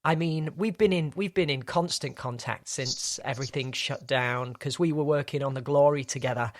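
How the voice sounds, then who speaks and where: A man's voice: medium pitch, moderate pace, no echo, clean studio sound. A man hosting a casual podcast interview, friendly and chatty, often restarting his phrases. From Britain. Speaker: podcast host.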